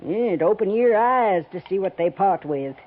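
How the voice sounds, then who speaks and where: A woman's voice: mid-range voice, lively intonation, moderate pace, lightly acted. A thin, tinny 1950s radio western recording carries an actress as a tough old American frontier woman. She is grasping and curt, scolding in plain folksy country speech. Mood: gruff, matter-of-fact. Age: older.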